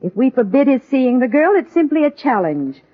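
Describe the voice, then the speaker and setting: Casting: fretful, determined mother, high and swooping, vintage radio comedy on a very muffled recording. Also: big pitch swings, normal speed. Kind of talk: 1940s radio comedy acting. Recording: muffled, lo-fi old radio broadcast.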